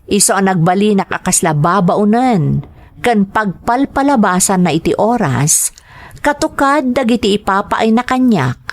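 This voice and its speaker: A woman's voice: medium pitch, sing-song, measured pace. A woman playing a meek, fearful young woman under an abusive lover's thumb in an Ilocano radio drama, pleading and stammering. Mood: sorrowful reflection.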